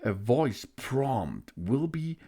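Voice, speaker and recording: A man giving an unboxing walkthrough of a gadget, hesitant, with many filler pauses. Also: medium pitch, sing-song, mellow. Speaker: product reviewer.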